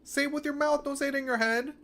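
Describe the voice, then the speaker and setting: A man's voice: very high-pitched, steady tone, fast, gravelly, sharp tone. A man streaming a video game, reading aloud and reacting, often restarting his sentences as he thinks aloud.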